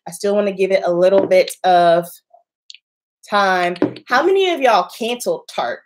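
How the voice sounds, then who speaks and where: A woman with a Southern American accent talks casually to her viewers on a livestream. She sounds a little congested and nasal, and she is chatty and emphatic, breaking into laughs. Her voice sits at an ordinary middle pitch for a woman. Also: expressive, moderate pace. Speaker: makeup artist livestreaming to viewers.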